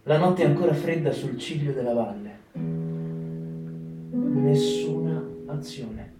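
A man narrating a theatre reading on the radio, slowly and solemnly describing a still, rain-soaked village. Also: on the high side. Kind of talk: performed theatre reading with music. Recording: echoey, distant mic, guitar under.

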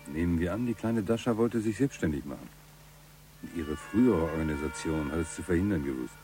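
An actor as a cool, low-voiced gangster. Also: male voice, brisk, dark tone, soft background music, natural voice. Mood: dismissive, cool. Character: cold-blooded, businesslike male gangster. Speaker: actor in a radio crime drama. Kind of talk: German radio play dialogue.

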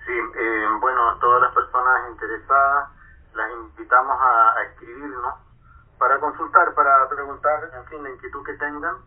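Man, mid-pitched, phone-thin. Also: steady tone, moderate pace. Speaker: guest, school organizer. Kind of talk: radio interview. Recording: tinny phone-like radio sound.

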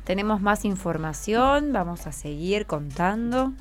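A woman's voice: mid-range voice, animated, measured pace. An Argentine woman hosting a radio interview, prompting and encouraging with short follow-up questions. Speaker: radio host interviewing schoolchildren. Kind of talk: guided question-and-answer interview. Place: community radio programme. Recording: music playing behind the voice. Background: music.

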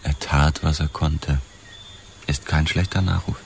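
Deep-voiced laconic young cop. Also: male voice, fairly even intonation, a little slow, creaky, slight background noise, exaggerated voice. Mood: dry. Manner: terse, dry, understated. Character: laconic, wistful 32-year-old ex-boxer policeman. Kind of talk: radio drama dialogue and first-person narration.

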